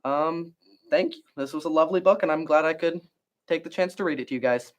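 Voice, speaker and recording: A man's voice: a little high, brisk. A man reading a children's picture book aloud expressively as a guest reader.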